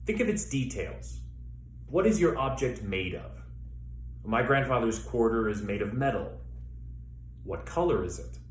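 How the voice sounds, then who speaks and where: A man working as a theater educator, guiding listeners through an exercise with measured, step-by-step instructions and pauses. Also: medium pitch, very animated. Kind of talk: instructional demonstration, guided exercise. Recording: echoey room with faint background noise.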